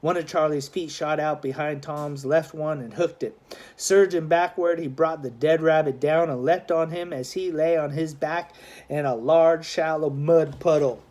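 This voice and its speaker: A man reading a children's adventure novel aloud as scripted narration, in a dramatic, expressive storytelling manner. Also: fairly high pitch, moderate pace, a little hiss or hum. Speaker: audiobook narrator, schoolmaster.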